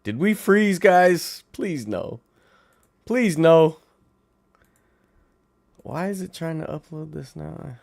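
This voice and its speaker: Young guy, bit raspy, chatty livestream host, voice swings all over, dry close mic. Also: a little high, slow, long pauses, no echo. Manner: animated, playful, talks to chat viewers. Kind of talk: casual livestream chat. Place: home.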